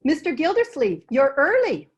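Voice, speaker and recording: A woman's voice: sits high, wildly swooping pitch, normal speed, lo-fi sound, noisy background. A woman playing a familiar, outspoken housekeeper in an old-time radio comedy, with a folksy manner. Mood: bustling.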